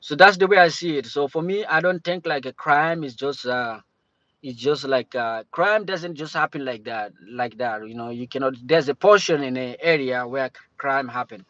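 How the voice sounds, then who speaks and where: A man from Cameroon chatting casually on a livestream, talking in a halting way with many restarts and fillers. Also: mid-range voice, a bit bright, no room sound. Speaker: livestream host. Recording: dull lo-fi stream audio.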